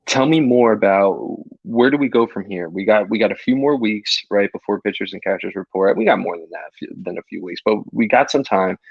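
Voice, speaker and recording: A man's voice: fairly low pitch, a little fast, gravelly, few pauses, breathy edge, no room sound, muffled recording. A man co-hosting a casual sports podcast, talking eagerly with frequent stammered restarts. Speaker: podcast co-host.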